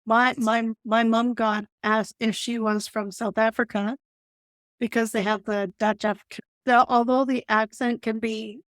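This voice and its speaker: A woman's voice: on the high side, flat delivery, a little slow, no echo. A woman from Calgary with a Western Canadian accent, chatting casually as a podcast co-host. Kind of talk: casual chat.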